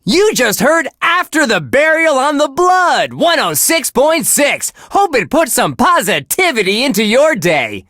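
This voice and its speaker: A man's voice: extremely high pitch, big pitch swings, a little slow, few pauses, pushed and bright, dry recording, studio quality, exaggerated voice. An actor playing a relentlessly cheerful male radio DJ at a death metal station, gushing and wholesome in his patter. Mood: chirpy, enthusiastic. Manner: gushing, sunny, wholesome delivery. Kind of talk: upbeat radio host patter.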